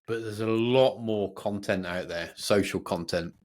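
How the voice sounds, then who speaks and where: Male podcast co-host, low voice, chatty and informal, thinking out loud. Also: measured pace. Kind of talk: casual podcast chat.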